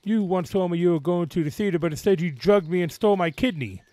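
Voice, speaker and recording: Man putting on a high, snorting girl pig. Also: fast talker, mellow, dry recording, close to an everyday voice. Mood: accusing. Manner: snorting, wailing. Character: distraught little girl pig, snorting and pleading.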